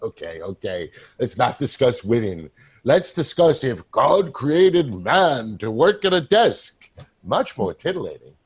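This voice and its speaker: A man's voice: medium pitch, sing-song, unhurried, slightly rough, exaggerated voice. A man playing a flippant, teasing, self-satisfied drinking buddy in a staged play reading. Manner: wry, teasing.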